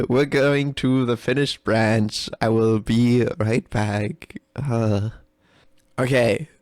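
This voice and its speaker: A man's voice: medium pitch, no room sound, clean studio sound. A man giving casual, unscripted live commentary as a game developer, rambling, sweary and self-deprecating, and often giggly. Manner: giggly, often breaking into laughter. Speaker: game developer recording a reaction video.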